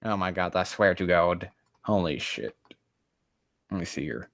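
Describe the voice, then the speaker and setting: A man with a bright, forceful voice reads amateur fiction on a livestream, captured very dry. He keeps stepping out of the text to critique it in rambling, profane, exasperated asides. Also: deep voice, lively intonation, measured pace, creaky, dry recording. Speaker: streamer reading fan fiction aloud. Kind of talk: casual livestream reading with running critique.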